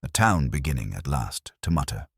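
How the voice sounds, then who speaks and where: A man voicing a quiet, unhurried police inspector in a detective audio drama, measured and softly spoken. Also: extremely low pitch, very animated, gravelly, slightly rough, no room sound, clean studio sound, pitched below the performer's own voice, heavily acted. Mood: calm, relentless.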